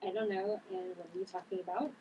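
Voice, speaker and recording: A woman's voice: medium pitch, fairly even intonation, fast, dull audio, close to an everyday voice. A woman reading a tough, defensive sister with a blunt, slangy way of talking at a drama table read. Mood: dismissive. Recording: distant mic, clear background noise.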